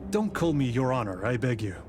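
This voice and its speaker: Measured, courteous period detective played by an actor. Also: male voice, medium pitch, lively intonation, a little mellow, a little hiss or hum, lightly acted. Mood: polite, relaxed. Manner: measured, polite, formal. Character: composed, courteous, authoritative 19th-century male detective from the capital. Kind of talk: scripted visual novel voice acting.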